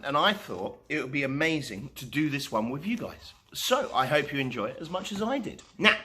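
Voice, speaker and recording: A man narrating a rhyming children's story as a storytime host, in an expressive, dramatic style. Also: a little high.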